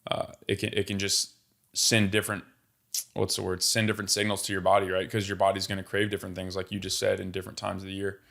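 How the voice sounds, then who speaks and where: A man's voice: low-pitched, completely flat delivery, quick pace, creaky, a bit bright. A man hosting a podcast, chatting in a laid-back, informal way with buddy-like slang. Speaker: podcast host. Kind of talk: casual chat.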